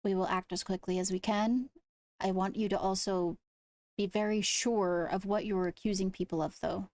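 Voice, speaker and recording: A woman speaking in improvised roleplay, leading a meeting in a measured, deliberative way. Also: mid-range voice, fairly even intonation, darkish tone, no echo. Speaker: role-player leading a court meeting. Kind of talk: improvised in-character roleplay talk.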